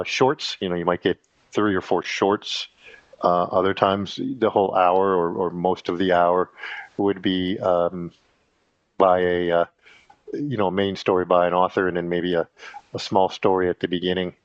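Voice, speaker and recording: A man hosting a podcast in a casual, rambling monologue, halting often with fillers and restarts. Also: fairly low pitch, no echo. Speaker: podcast host. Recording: dry, dull lo-fi sound.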